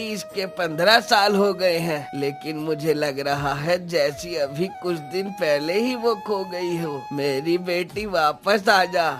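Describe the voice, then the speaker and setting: High, slow, grief-broken father acted by a man. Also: fairly bright tone. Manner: lamenting, mournful. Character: grief-broken landlord father pining for lost daughter. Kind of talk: Hindi moral story cartoon dialogue.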